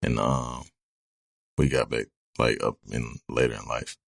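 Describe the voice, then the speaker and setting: Podcast co-host, man in his thirties, deep bass voice, relaxed and profane. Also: unhurried, gravelly, no room sound, clean studio sound. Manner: laid-back, profane, storytelling. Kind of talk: casual chat.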